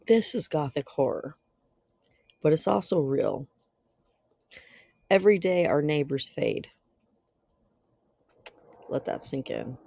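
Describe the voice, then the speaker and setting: A host giving a theatrical scripted narration in a solemn, deliberate and dramatic manner. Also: mid-range voice, lively intonation, gravelly, warm tone, no room sound. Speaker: video host and storyteller.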